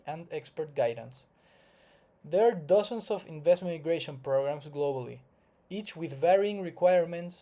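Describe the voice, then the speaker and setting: Male consultant-presenter, Chilean Spanish accent, slightly high yet very mellow voice, earnest and formal explainer read. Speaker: investment migration consultant presenting. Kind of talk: scripted explainer narration to viewers.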